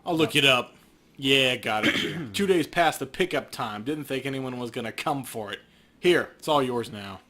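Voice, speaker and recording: A man's voice: medium pitch, expressive, moderate pace, sharp tone. A man voicing a surly, hostile younger meat merchant in a video game, curt and dismissive. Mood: grudging.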